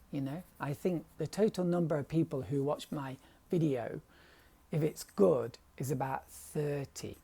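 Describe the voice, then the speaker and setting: English man, middle-aged, dry voice, husky, mellow tone, hesitant confessional rambling. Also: a little high. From England. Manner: hesitant, self-deprecating, trailing off into whispers. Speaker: painter vlogger. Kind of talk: rambling confessional vlog monologue.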